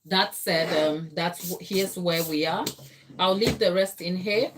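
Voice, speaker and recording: A woman from Ghana with a lowish voice hosts a live baking stream from her kitchen. She talks in a chatty, explanatory way, answering viewers' questions as they come in. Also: measured pace. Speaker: baking channel host. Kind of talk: live-stream cake tutorial and chat. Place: home kitchen.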